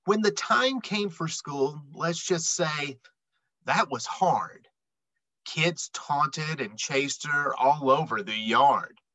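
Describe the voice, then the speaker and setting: A middle-aged man reading a children's picture book aloud expressively, with emphatic, dramatic phrasing and deliberate pauses. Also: fairly high pitch, animated, bright, forceful tone, no room sound. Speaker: read-aloud storyteller.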